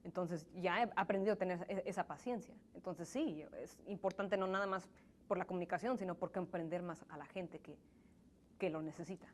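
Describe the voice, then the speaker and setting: A woman's voice: fairly low pitch, brisk, a little hiss or hum. A young woman answering interview questions in an earnest, thoughtful way, often restarting her sentences; she grew up in the United States in a Mexican family, and English is her first language. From Mexican family, raised in the United States. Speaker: interview guest. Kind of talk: conversational interview.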